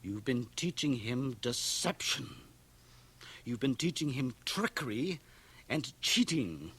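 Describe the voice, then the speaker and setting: An actor playing a stern, pious small-town minister, solemn and righteous, in a radio drama. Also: male voice, medium pitch, sing-song, takes it slowly, a bit bright, husky, full character voice. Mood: accusing, righteous. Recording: 1980 radio drama from home cassette transfer.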